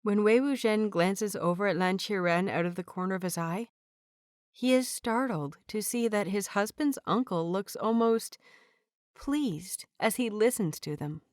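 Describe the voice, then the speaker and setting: A woman's voice: mid-range voice, a little slow, dry recording. Woman reading a story, dry close sound. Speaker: narrator. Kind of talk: fanfiction audiobook reading.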